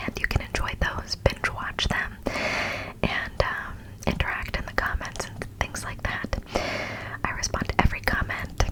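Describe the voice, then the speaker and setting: A woman hosting ASMR in close whispers to the microphone, chatting casually and excitedly and often repeating words. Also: rough recording. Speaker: ASMR video host.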